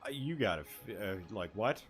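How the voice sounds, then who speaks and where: Low-voiced man streaming a game. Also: lively intonation, moderate pace, soft background music. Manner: informal. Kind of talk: casual playthrough commentary, reading dialogue aloud.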